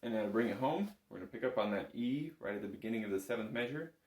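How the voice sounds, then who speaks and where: A man's voice: on the low side, expressive, fast talker, a little mellow. A man giving a step-by-step music lesson as an instructor, patiently counting and calling things out. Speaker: bagpipe instructor. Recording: roomy, echoing room sound.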